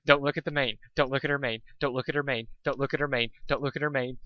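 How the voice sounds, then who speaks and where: A man's voice: mid-range voice, fairly even intonation, fast talker, no echo, muffled recording, close to an everyday voice. A man voicing an exasperated, bookish young male cartoon unicorn in an earnest, flustered manner. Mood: panicked.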